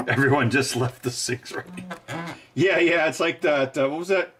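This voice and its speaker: A man's voice: on the high side, unhurried, a bit bright, slight background noise. A man hosting a live stream, rambling casually and joking, laughing often.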